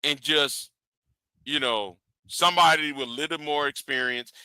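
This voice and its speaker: A man's voice: on the high side, normal speed, sharp tone, clean tone, no echo, poor audio. Guy in his 40s ranting on a live stream, lots of stuttering repeats, dry close mic. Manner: rambling, stammering repeats. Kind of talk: casual commentary.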